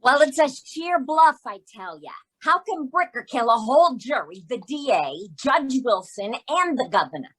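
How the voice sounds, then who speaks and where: A woman plays a skeptical gossip watching a trial in a radio play. Her husky voice sits fairly high and swings around emphatically. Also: very animated, normal speed, few pauses, a bit bright, full character voice, poor audio. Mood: scoffing. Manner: emphatic, colloquial. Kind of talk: old-time radio drama acting.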